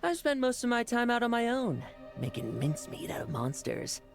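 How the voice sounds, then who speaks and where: A woman's voice: a little high, big pitch swings, moderate pace, vocal fry, faint music behind. A woman voicing a brash, battle-hungry young knight with a cocky, swaggering delivery in a video game. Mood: casual swagger.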